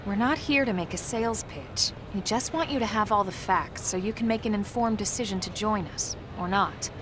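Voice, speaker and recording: A sensible woman squad leader from a video game , performed by an actress, speaking at a medium pitch. She is measured, matter-of-fact and gently firm. Clear ambient noise runs behind her. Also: close to an everyday voice. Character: composed, sensible female military team leader. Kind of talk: video game cutscene voice acting.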